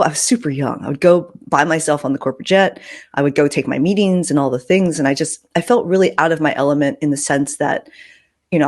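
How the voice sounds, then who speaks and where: A woman's voice: sits low, darkish tone, breathy edge. A middle-aged woman hosting a podcast, telling personal stories in a candid, informal way with some swearing. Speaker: podcast host. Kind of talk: casual personal storytelling.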